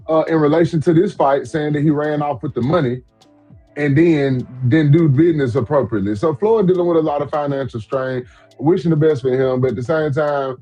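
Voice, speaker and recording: Slangy fortysomething man, mellow low-toned voice. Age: 40s. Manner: loose, slangy, emphatic talk with many repeats. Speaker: podcast co-host. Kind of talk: casual sports chat.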